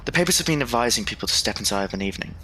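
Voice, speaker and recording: An actor plays an earnest young Victorian gentleman in an audio drama. His voice is bright and forward at a middling pitch, formal and headstrong, over faint background noise. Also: expressive, rapid-fire. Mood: earnest, concerned. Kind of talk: period audio drama acting.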